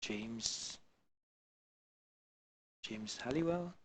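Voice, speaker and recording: A man from Belgium chatting casually with his live-stream viewers on a headset mic while modelling, halting and full of fillers. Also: medium pitch, animated, a little hiss or hum, poor audio. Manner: halting, many fillers, answers chat viewers. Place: home in the Alpine region.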